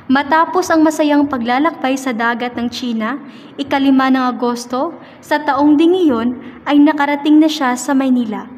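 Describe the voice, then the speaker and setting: A woman's voice: sits high, moderate pace, a little mellow. A woman narrating a scripted radio drama in a formal, read-aloud storytelling manner. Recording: dull sound with hall reverb.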